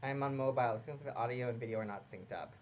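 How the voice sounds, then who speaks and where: Casting note: a man streaming a game, loose chatty commentary, mid-pitched voice, faint music underneath. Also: rapid-fire, highs cut off. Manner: chatty, greets viewers by name, self-mocking. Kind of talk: casual live gameplay commentary.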